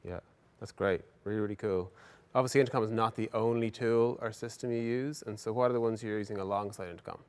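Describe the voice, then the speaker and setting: A man hosting a casual business interview, speaking for his software company in an affirming, encouraging way. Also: lowish voice, fairly even intonation, quick pace, clear, resonant tone.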